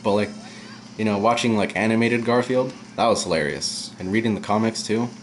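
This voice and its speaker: A young man giving casual, rambling commentary while playing a video game, his voice low and at times monotone. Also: a little fast, a little hiss or hum. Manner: rambling, chatty, drifts off topic. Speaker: let's play gamer. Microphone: camera aimed at the game screen.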